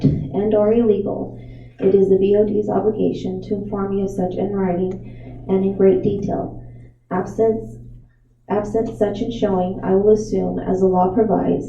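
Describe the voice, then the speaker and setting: A woman serving as board secretary, reading a letter and the roll call in a measured, formal way. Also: mid-range voice, mellow, a little hiss or hum. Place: meeting room. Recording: echoey room, distant muffled mic.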